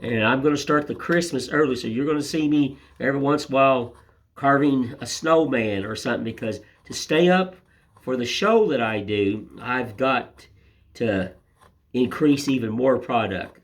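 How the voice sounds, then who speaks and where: An older man with a raspy, hoarse voice from a cold, chatting casually and rambling as he hosts his woodcarving channel. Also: mid-range voice, moderate pace. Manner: rambling, homespun, folksy filler phrases. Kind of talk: casual chat to camera.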